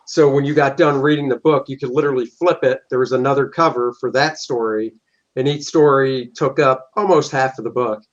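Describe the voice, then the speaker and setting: A man's voice: medium pitch, steady tone, fairly quick, muffled recording. A middle-aged man, a comic book artist, answering interview questions in an easygoing way and explaining at length.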